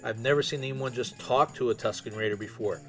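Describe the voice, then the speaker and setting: A man's voice: medium pitch, fairly quick. Man, podcast host, mid-range voice, informal solo chat, faint music underneath. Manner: informal, conversational.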